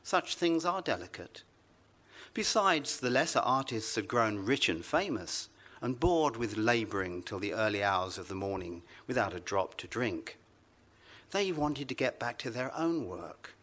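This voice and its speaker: A man reading his own literary story aloud to a live festival audience, measured and wry. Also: mid-range voice, sing-song, a bit bright.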